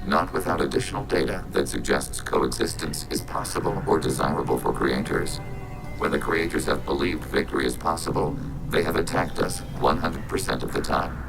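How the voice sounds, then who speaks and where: An impassive sci-fi game robot, rumbling extremely low with a creaky grain. It states things literally and without emotion, over prominent music. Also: male voice, normal speed, rough recording. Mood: impassive, factual. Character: impassive, logical male-voiced robot of a machine collective. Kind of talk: video game dialogue acting. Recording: loud music behind the voice.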